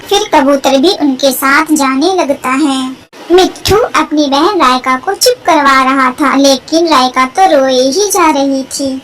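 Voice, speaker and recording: A woman's voice: high-pitched, measured pace, fairly bright tone, rough recording. A woman reading scripted narration for a children's cartoon story.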